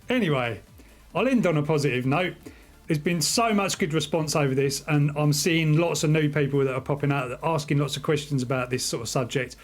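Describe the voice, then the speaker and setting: Higher-pitched middle-aged British man vlogging. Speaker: video host, radio hobbyist. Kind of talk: casual vlog talk to viewers.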